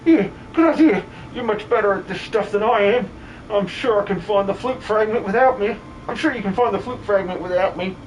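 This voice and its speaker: A man voicing a boastful but cowardly muscle-bound miner with rough, cheery working-class speech in a video game fan dub. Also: sits high, fairly quick, a little hiss or hum, lightly acted, poor audio. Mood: relieved, cheery.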